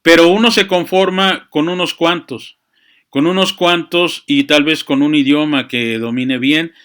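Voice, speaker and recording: A man giving a reflective, unscripted monologue, often halting to search for words and adding verbal tags. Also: on the high side, steady tone, fairly bright tone, clean tone. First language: Spanish. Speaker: speaker on chess and literature. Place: small room with books.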